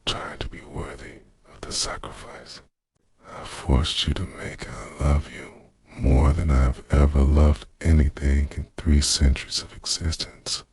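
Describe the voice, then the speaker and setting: A man playing a centuries-old vampire lover, obsessive and guilt-ridden, in an intimate ASMR roleplay monologue, speaking in a breathy, gravelly half-whisper with a dramatic, confessional delivery. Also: extremely low pitch, steady tone, measured pace, a little mellow, no room sound. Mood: devoted, sorrowful.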